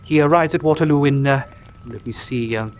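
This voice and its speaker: A man's voice: medium pitch, a little fast, a little hiss or hum, close to an everyday voice. A male actor playing an earnest, anxious English doctor in a radio drama, speaking formally and a little hesitantly. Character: Doctor Mortimer, earnest, anxious country doctor and man of science.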